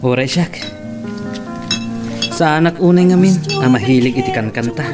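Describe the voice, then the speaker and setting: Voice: medium pitch, moderate pace, music in the background, natural voice. A performer playing a chummy, advising male singing partner in an Ilocano audio drama, with an Ilocano accent. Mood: casual. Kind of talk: Ilocano radio-style drama acting.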